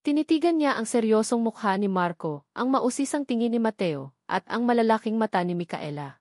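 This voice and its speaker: A woman's voice: a little high, expressive, brisk, a little mellow, no echo, studio quality. A woman with a Filipino accent narrating a scripted dramatic love story as an audio drama.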